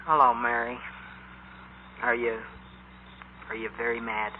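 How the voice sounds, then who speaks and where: A man's voice: medium pitch, flat delivery, a little slow, natural voice. An actor playing an eager, hapless teenage boy who stammers and pleads, in 1940s radio style. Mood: sheepish, earnest. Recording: muffled, noisy 1940s radio recording.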